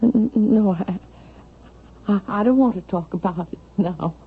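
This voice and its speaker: An actress playing an anxious, frightened older mother in a vintage radio drama, pleading and halting as she speaks. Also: medium pitch, slightly rough. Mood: fearful, wary. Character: anxious, frightened older widowed mother, mistrusting her son-in-law. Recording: thin phone-like old radio, music behind.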